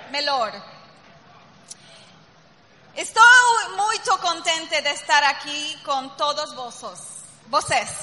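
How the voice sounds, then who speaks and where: A woman greeting a convention audience from the stage, speaking slowly in short phrases that pause for an interpreter. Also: sits high, noisy background. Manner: slow, warm greetings to the crowd. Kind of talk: speech paused for live interpreting. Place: large convention hall stage. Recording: hall reverb with faint crowd.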